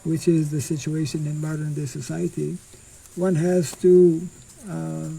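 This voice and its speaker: Slow, gravelly older man teaching outdoors. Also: a little high, level tone, warm tone, a little hiss or hum, rough recording. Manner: slow, halting, clears throat often. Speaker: monk teaching scripture. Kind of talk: informal scripture class. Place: outdoors on a farm clearing. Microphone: stand mic in front.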